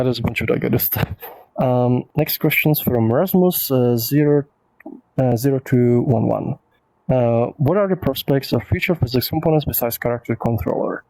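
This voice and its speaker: A man's voice: mid-range voice, gravelly, mellow, dry recording, low-quality recording. A man whose first language is Czech, hosting a casual livestream Q&A, reading viewer questions aloud and rambling through his answers with lots of fillers.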